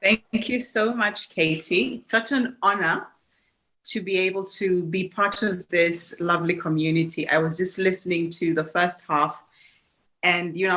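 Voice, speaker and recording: A woman from Kenya, giving a reflective personal talk as a guest speaker, often restarting her sentences. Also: lowish voice. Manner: warm, reflective, with frequent restarts and fillers.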